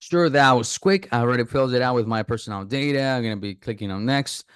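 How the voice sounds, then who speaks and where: A man's voice: mid-range voice, fast talker, smooth, no echo, studio quality. A man casually talking viewers through signing up for an app, thinking aloud with fillers and restarts. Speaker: app reviewer. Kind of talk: casual screen-recording walkthrough.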